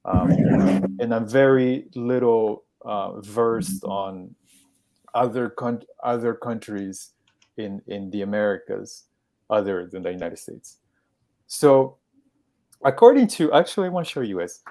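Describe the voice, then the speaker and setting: A man from Peru speaking on a podcast, explaining things in a measured way with pauses and restarts. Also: on the low side, dark tone. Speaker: podcast guest. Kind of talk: conversational podcast talk.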